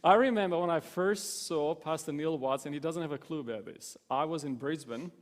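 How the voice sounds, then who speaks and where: A man's voice: on the high side, fairly quick, in a large hall. A man in his thirties, born in Romania, being interviewed on a church stage as guest pastor, earnest with self-deprecating humour. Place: church stage before a congregation.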